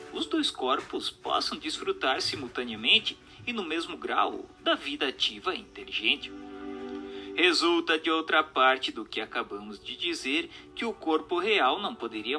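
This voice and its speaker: Bright, forceful male narrator over music. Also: on the high side. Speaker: narrator reading a book aloud. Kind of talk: scripted narration, audiobook reading.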